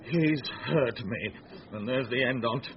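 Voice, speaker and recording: An actor playing a drunken, surly rogue knight, slurring insults in a Shakespearean radio comedy. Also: male voice, mid-range voice, slow, noisy background. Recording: muffled radio recording with crowd behind.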